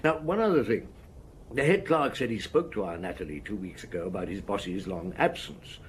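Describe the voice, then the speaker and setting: An actor playing a shrewd, unhurried chief inspector in an audio drama, measured, probing and dryly courteous. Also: male voice, fairly low pitch, animated, slight background noise, close to an everyday voice, low-quality recording. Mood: thoughtful.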